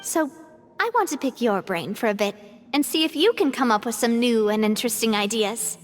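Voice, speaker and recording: A woman's voice: a little high, normal speed, a little hiss or hum, natural voice. A woman playing a confident, cheerful and gracious young lady boss in a video game, speaking in an encouraging way. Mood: thoughtful. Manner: bright, encouraging, animated.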